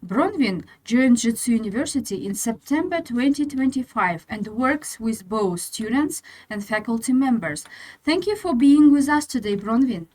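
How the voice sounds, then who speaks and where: A woman co-hosts a podcast and introduces the guest, then reads out prepared questions politely. Her voice is a little higher than the middle. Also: expressive, moderate pace, darkish tone, poor audio. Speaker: podcast co-host. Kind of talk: interview hosting.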